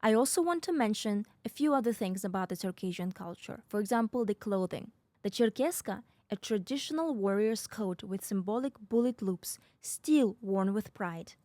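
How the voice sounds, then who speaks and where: A woman's voice: mid-range voice, animated, normal speed, dark tone, no room sound. A woman from the North Caucasus whose first language is a North Caucasian language, hosting a podcast as an explanatory monologue with wry, ironic asides. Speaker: podcast host.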